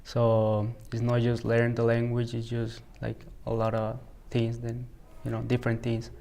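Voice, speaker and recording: A teenage boy from El Salvador with a Salvadoran Spanish accent, a high school student answering interview questions haltingly, filling his speech with "like" and "you know". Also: completely flat delivery, vocal fry, mellow. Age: teens. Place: school, indoors.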